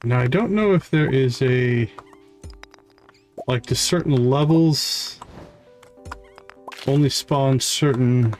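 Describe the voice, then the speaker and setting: A man hosting a livestream, giving casual gameplay commentary and thinking aloud in an unhurried way. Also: mid-range voice, darkish tone, soft background music. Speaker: livestream host.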